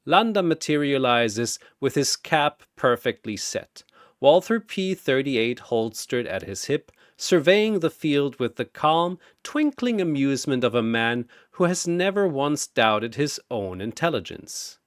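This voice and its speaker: A man reading scripted fantasy battle narration in a theatrical, deliberate manner, like an arena announcer. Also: mid-range voice, very animated, dry recording, clean studio sound.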